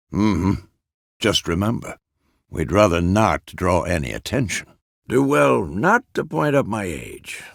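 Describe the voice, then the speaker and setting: A man playing a gruff, fatherly old monster hunter in a fantasy video game, with a gravelly voice and a terse, measured, authoritative manner. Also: lowish voice, no room sound, studio quality, exaggerated voice.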